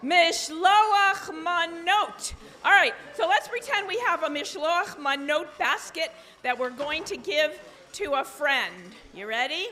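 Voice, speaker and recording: A high-pitched woman leads a live children's sing-along with unhurried, drawn-out delivery. She is playful and animated, prompting the young audience. The sound is a big, reverberant hall with music. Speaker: children's event song leader. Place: large indoor social hall. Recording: echoey social hall with music.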